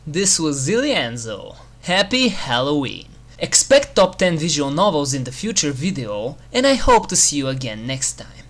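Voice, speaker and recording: A narrator reading a scripted list review with drawn-out, theatrical phrasing and dry humour, as a video host. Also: medium pitch, big pitch swings, a bit bright, slight background noise. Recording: music under the voice. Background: eerie game soundtrack music.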